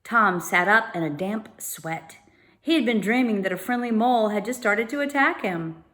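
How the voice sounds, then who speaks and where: A woman's voice: medium pitch, expressive, a bit bright. Woman reading a children's book aloud. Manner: pauses to explain unfamiliar words informally. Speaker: read-aloud storyteller. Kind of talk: children's book narration with explanatory asides.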